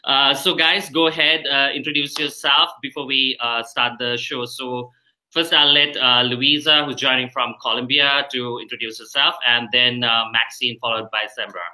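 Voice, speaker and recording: A man's voice: mid-range voice, fairly even intonation, normal speed, sharp tone, rough recording. A man hosting a live online question-and-answer show, talking hesitantly with many fillers. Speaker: show host.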